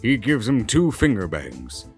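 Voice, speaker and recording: Lively male narrator over music. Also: on the low side, a little slow, slightly rough. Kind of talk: scripted dramatic story narration. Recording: music bed under the voice.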